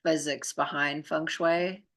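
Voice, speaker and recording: A woman's voice: low voice, fairly even intonation, slow, fairly bright tone. A woman chatting casually and informally, explaining things as a guest in a conversation. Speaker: talk guest. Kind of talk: casual chat, explaining energy practices.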